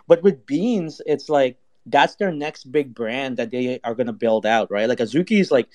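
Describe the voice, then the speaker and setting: Male panelist, mid-pitched voice, loose slangy chat with plenty of swearing. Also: animated, moderate pace, darkish tone. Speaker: panelist on a crypto talk show.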